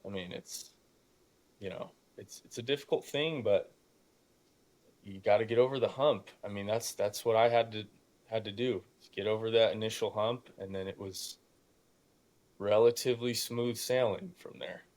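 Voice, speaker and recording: A man's voice: fairly low pitch, steady tone, normal speed, a little mellow. A man of eighteen talking casually and emphatically as a podcast guest, with a youthful voice that can crack and a habit of acting out imagined dialogue. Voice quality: youthful voice that still cracks at times. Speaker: podcast guest, young real estate investor. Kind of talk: casual conversational motivational storytelling.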